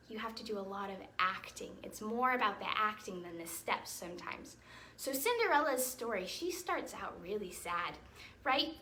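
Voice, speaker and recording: A woman teaching a ballet lesson to young children in an encouraging, animated way, explaining things simply and checking in with questions. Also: mid-range voice. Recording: roomy echo, distant mic, faint noise.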